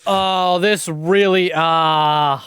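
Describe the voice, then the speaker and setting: A man's voice: fairly high pitch, fairly even intonation, takes it slowly, a bit bright, clean tone, no room sound. A man giving casual live-stream commentary on a game, sweary and thinking aloud.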